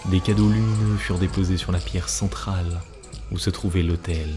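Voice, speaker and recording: Deep-voiced male storyteller over music. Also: fairly even intonation. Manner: solemn, measured. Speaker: narrator. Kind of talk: scripted storybook narration for a cartoon.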